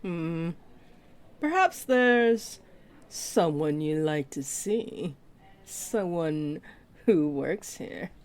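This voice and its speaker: A woman's voice: low voice, expressive, extremely slow, darkish tone, full character voice. A woman voicing a flirty, possessive half-dragon librarian in a roleplay audio, speaking in a coy, playful drawl. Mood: coy teasing. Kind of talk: roleplay audio acting addressed to the listener.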